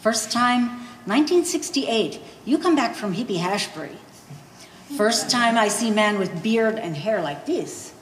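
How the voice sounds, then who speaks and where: A woman's voice: on the high side, animated, measured pace. A woman playing a blunt old mother who speaks broken English with dropped articles in a live one-act stage play. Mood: wry reminiscing. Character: blunt old immigrant mother of a grown son. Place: art gallery stage reading. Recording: roomy echoing hall, faint noise.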